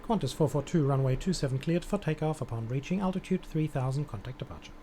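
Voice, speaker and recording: A mellow-voiced man, pitched a little high, works as a streaming air traffic controller. He gives measured, procedural clearances and slips into casual commentary. Faint background noise sits behind him. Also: expressive.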